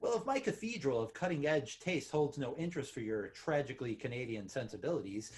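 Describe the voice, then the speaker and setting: A man's voice: mid-range voice, expressive, a little fast, natural voice. A man playing a smug, sneering club-owner villain in a script table read, with a mocking, theatrical, condescending delivery. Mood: menacing, grandiose.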